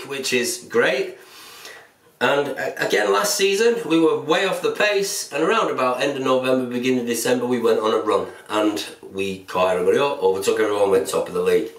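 A bearded man in his forties sits at a table in a small room and chats to camera about football in a plain-spoken, easygoing way. The room is echoey, and he sounds a little distant from the mic. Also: mid-range voice. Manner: chatty, plain-spoken, self-described pessimist. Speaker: football fan vlogger. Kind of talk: casual solo commentary to camera. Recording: roomy echo, mic set back.

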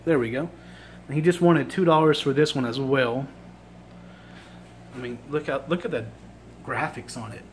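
A man with a Virginia accent, losing his voice and clearing his throat, chatting casually to a phone camera indoors as he shows off thrift finds. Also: mid-range voice, normal speed, pauses often, a little hiss or hum. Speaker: thrift reseller vlogger. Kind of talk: casual show-and-tell vlog. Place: indoor room with items on a table. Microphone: phone camera held while filming.

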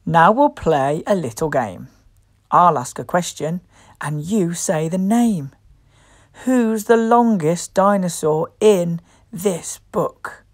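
A woman reading a picture book aloud to young children as host, expressive, playful and encouraging. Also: on the low side, slow, no room sound, studio quality. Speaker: children's storybook reader and host.

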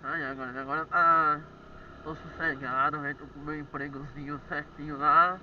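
A man's voice: a little high, flat delivery, moderate pace. A man with a Brazilian accent chatting informally to his viewers while he rides a motorcycle outdoors. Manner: informal, addresses viewers repeatedly. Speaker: motorcycle vlogger and delivery rider. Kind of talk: casual chat to viewers while riding. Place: outdoors on a moving motorcycle at night. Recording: muffled action-camera audio with loud engine noise. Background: motorcycle engine and road noise.

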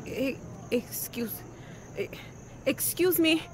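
Little old lady in a radio play, pleading and fervent, kinda high voice. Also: lively intonation, slow pace, over music. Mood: timid, pleading. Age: older. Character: battered, pleading little old woman, long-lost mother. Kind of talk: emotional radio drama acting.

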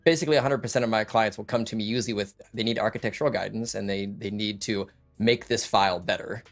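A man's voice: fairly low pitch, steady tone, fast, faint music behind. A man in his thirties hosting a casual interview over a video call from his home, chatting loosely with many fillers and restarts. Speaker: host. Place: home room with lit wall panels and couch.

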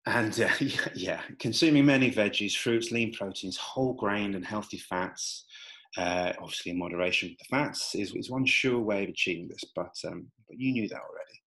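A man with a fairly low voice walks through nutrition slides in an easygoing way. He restarts sentences often and leans on fillers. He records at a desktop mic in a small indoor room. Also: moderate pace, rough recording. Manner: relaxed, many fillers and restarts. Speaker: nutrition coach. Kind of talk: talking over slides, casual explainer.

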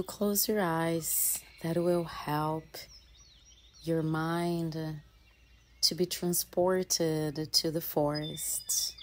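A woman leading a guided meditation in a soothing, gentle manner, with soft breathy phrase endings and long pauses between short phrases. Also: low voice. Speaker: yoga and mindfulness teacher leading a guided meditation. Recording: faint ambient noise with birdsong. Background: birdsong and nature ambience.

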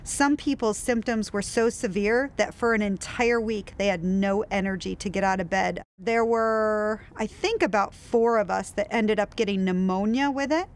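A woman's voice: mid-range voice, normal speed, few pauses. A woman, a study participant, chatting casually and upbeat in an interview outdoors in her driveway.

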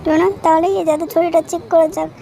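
A woman's voice: very high-pitched, monotone, fast talker, gravelly, mellow, a little hiss or hum. A woman voicing a small, eager bird in a children's cartoon, exclaiming and repeating phrases. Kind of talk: children's cartoon story dialogue.

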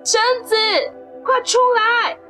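A determined young boy, played by a woman in an audio drama. The voice is high and takes its time, with an earnest, emphatic delivery. Music plays underneath. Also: flat delivery, takes it slowly, bright, forceful tone, full character voice. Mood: anxious, defiant. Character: determined young boy worried for his older brother. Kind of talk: amateur audio drama acting.

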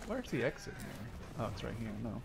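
A man's voice: medium pitch, big pitch swings, brisk, gravelly, background noise. A man in his twenties giving casual, reactive commentary while playing an online shooter with a friend. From Netherlands. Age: 20s. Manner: upbeat intro, then chatty and reactive while playing. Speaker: gaming YouTuber.

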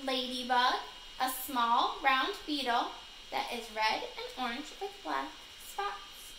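A young woman with a high, creaky voice reads aloud to children as their teacher. She speaks deliberately and explains as she goes. The recording is echoey, made in a living room. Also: animated, sharp tone, slight background noise. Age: young adult. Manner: slow, deliberate, instructional. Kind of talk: children's read-aloud lesson. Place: small living room, on a couch indoors.

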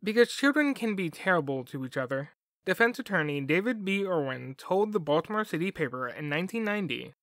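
Higher-pitched man narrating a podcast. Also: lively intonation, fairly quick, a bit bright, no room sound. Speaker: podcast host. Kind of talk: scripted documentary narration.

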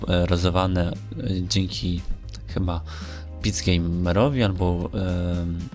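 A young man giving casual, unscripted gaming commentary in a hesitant, rambling way, often correcting himself. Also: sits low. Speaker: gaming vlogger. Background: music.

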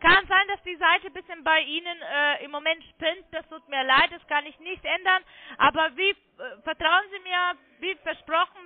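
High-pitched woman on a phone line. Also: no room sound. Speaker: phone sales agent claiming to be technical support. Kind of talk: phone sales call, step-by-step instructions.

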